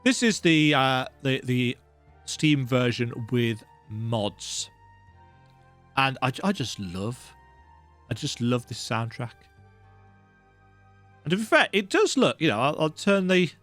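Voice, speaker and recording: A man's voice: mid-range voice, lively intonation, slow, long pauses, fairly bright tone. A man giving casual, chatty, self-deprecating commentary as host of a let's play, speaking close to the mic. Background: video game music.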